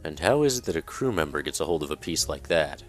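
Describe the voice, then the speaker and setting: A man playing a calm, curious detective. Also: extremely low pitch, soft background music. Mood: inquisitive. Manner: measured, polite and inquisitive.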